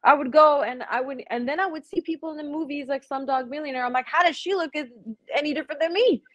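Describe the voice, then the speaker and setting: A woman's voice: high voice, fast, no room sound. Frank woman guest on a dry video call. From Middle East. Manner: frank, animated, gestures while talking. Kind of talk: candid conversational storytelling. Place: home room, indoors. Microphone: webcam on a video call.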